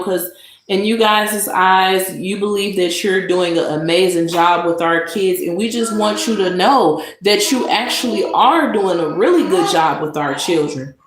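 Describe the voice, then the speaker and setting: A woman vlogger delivering a sarcastic monologue of mock praise, with drawn-out emphasis. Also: mid-range voice. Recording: echoey room with noise.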